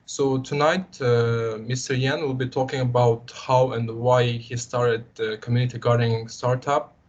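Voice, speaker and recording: A man hosting an online event, speaking in a polite, halting, formal way. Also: medium pitch, little pitch movement. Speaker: event host introducing the speaker. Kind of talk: formal introduction. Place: online video call. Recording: dull lo-fi online call audio.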